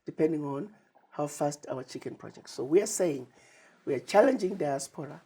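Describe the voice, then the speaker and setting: A woman's voice: deep voice, expressive, moderate pace, dark tone, husky. A woman from Zimbabwe, an ambassador speaking as a guest in an interview, telling her story with emphasis. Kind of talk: conversational interview.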